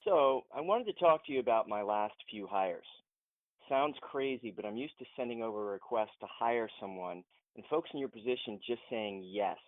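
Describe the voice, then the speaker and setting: An actor playing a frustrated, principled film producer in an audio drama, earnest and insistent, heard over a thin phone line. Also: male voice, lowish voice, moderate pace, no echo, lightly acted. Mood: polite, uneasy. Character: frustrated, principled male film producer challenging his boss. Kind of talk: modern audio drama acting.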